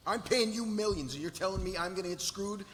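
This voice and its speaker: A man's voice: sits high, fast, a bit bright. A man playing an angry, demanding corporate client in a live script read, blunt and aggrieved. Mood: irritated, demanding. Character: angry, demanding male corporate client, foul-mouthed and bullying. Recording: echoey hall, faint background noise.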